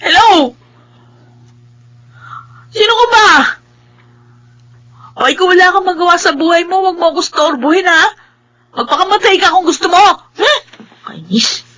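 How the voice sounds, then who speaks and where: A woman's voice: very high voice, animated, a little slow, pauses often, fairly bright tone, exaggerated voice. An actress with a Filipino accent playing an irritable, sleepy woman pestered by phone calls, snapping and muttering in a radio horror drama. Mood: angry.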